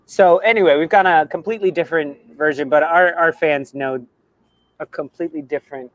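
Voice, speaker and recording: An American man from the South chatting casually as co-host of a football fan show, animated and quick to laugh. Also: fairly high pitch. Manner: animated, laughs often, slips into put-on accents. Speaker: podcast co-host.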